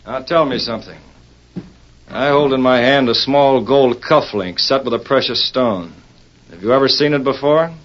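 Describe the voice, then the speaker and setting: An actor playing a stern courtroom prosecutor in a 1940s radio drama, questioning in a formal, deliberate, pressing manner. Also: male voice, medium pitch, close to an everyday voice. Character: stern, pointed courtroom prosecutor, adult man. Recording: muffled 1940s radio recording.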